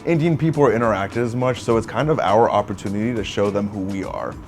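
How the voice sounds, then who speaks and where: Lower-voiced guy chatting, earnest, trips over words a lot, music clearly playing behind. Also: a little mellow. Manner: earnest, halting with repeated words. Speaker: actor co-hosting a chat. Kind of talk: casual conversation.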